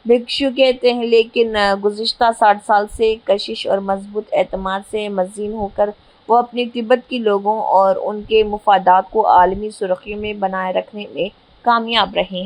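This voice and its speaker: A woman's voice: medium pitch, low-quality recording. Female newsreader, middle register, formal scripted bulletin delivery, slightly stumbling here and there. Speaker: news presenter. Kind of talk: scripted news narration.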